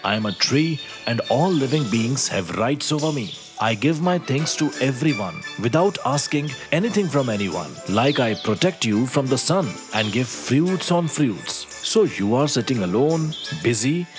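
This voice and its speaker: A voice actor playing a wise old talking walnut tree in a cartoon story, calm and lecturing. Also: medium pitch, moderate pace, hardly any pauses, natural voice. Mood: gentle. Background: music.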